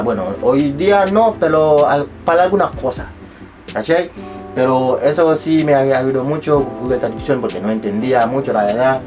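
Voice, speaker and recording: A young man speaking Spanish as a second language, telling a casual story with rambling restarts and self-mocking asides. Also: medium pitch. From abroad, not Chile. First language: not Spanish. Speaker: vlogger.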